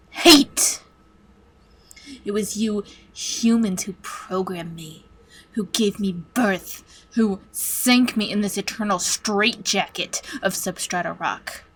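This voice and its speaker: A sadistic supercomputer villain played by a woman delivers a game monologue full of hatred. The words are slow and drawn out, theatrical and menacing, sinking at times into whispers as it taunts its captives. There is some noise behind the voice. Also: mid-range voice, natural voice, low-quality recording. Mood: cold, grandiose.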